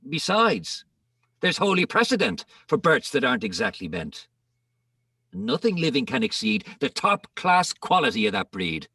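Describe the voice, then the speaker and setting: A man's voice: a little high, wildly swooping pitch, normal speed, gravelly, sharp tone, no echo, full character voice. A man playing a boastful old man pleading before a court, in a declamatory dramatic reading of comic verse, recorded dry and slightly distant. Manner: declamatory, rhythmic, emphatic.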